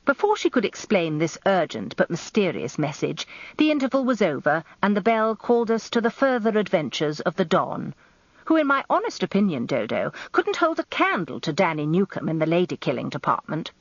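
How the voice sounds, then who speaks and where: An actress playing a genteel, self-important middle-aged English wife, narrating a radio drama in an arch, confiding, expressive way. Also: female voice, on the low side, brisk, dry recording, lo-fi sound. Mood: gossipy.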